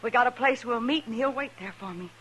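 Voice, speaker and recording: A woman's voice: fairly high pitch, fast talker, fairly bright tone, a little hiss or hum, close to an everyday voice. An American actress playing a defiant young frontier woman in a 1950s radio Western, blunt and bitter with rustic speech, on an old recording. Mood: bitter, threatening.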